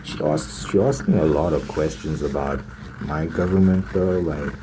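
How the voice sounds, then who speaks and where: A man's voice: fairly even intonation, moderate pace. A man doing a casual ASMR roleplay monologue, telling his story in a hesitant, repetitive, slangy way as a smitten, naive boyfriend. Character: smitten, naive young man gushing about his new girlfriend. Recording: lo-fi ASMR with loud rumbling noise.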